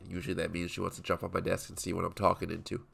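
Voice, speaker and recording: A young man talks in a very low, gravelly voice, his nose clearly stuffed up. He rambles offhandedly and keeps correcting himself mid-thought, like someone chatting while his hands are busy at a desk. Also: completely flat delivery, rapid-fire, fairly bright tone. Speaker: toy photography YouTuber. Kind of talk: casual rambling commentary while working. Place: home desk with small photo set.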